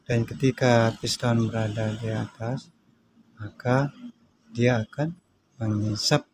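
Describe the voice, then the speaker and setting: A man's voice: medium pitch, steady tone, takes it slowly, darkish tone. Man, repair tutorial, plain explanatory talk, fillers, faint hiss behind. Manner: plain, explanatory, with frequent fillers and tag words. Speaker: small engine repair instructor.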